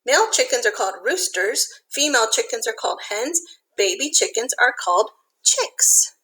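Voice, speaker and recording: Woman, slightly high pitch, bright tone, expressive storybook reading, teacherly asides for kids. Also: moderate pace, low-quality recording. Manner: expressive, simple explanations addressed to children. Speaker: elementary school teacher reading a picture book aloud. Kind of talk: read-aloud storytelling for young children with explanatory asides.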